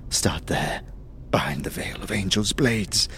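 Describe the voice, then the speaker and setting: A furtive, creaky, pleading cultist. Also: male voice, sits low, expressive, measured pace, a bit bright, in a whisper, exaggerated voice. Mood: anxious, cryptic. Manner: urgent, repetitive, singsong rhyming. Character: furtive, pleading, slender cultist speaking in broken riddles. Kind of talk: audio drama acting.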